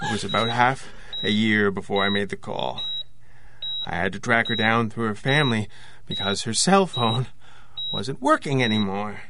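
A man's voice: on the low side, animated, moderate pace, background noise. An actor playing an intense, guilt-ridden man confessing to murder in an audio drama. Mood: somber confession.

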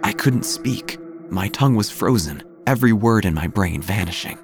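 A man playing a quirky investigator who narrates his own tale in a theatrical, deadpan, wry way. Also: lowish voice, moderate pace. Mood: ominous, melodramatic. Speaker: narrator and lead actor of an audio drama. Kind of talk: scripted first-person noir-like narration. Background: music.

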